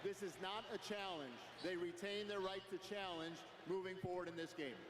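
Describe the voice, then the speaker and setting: A man's voice: high-pitched, steady tone, moderate pace, noisy background. A man making a formal official announcement about a replay review. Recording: echoey arena PA picked up from far off.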